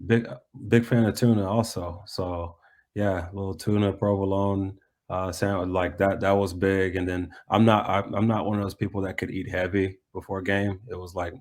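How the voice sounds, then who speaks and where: A man's voice: low voice, flat delivery, moderate pace, darkish tone. A middle-aged man, a coach and former player, answering interview questions casually and reflectively, often repeating phrases as he goes.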